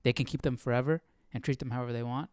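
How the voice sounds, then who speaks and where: Clear, close-sounding man thinking aloud. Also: mid-range voice, steady tone, rapid-fire, darkish tone, dry recording. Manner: questioning, thinking aloud, often seeking agreement. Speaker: host of a livestream Bible study. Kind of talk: reading aloud with casual off-the-cuff commentary.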